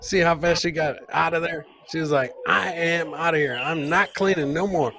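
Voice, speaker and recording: A man's voice: fairly high pitch, steady tone, normal speed, creaky, sharp tone. A man hosting a live comedy podcast, jokey and informal, repeating his phrases as he reacts to clips and chat. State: several beers in. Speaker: host.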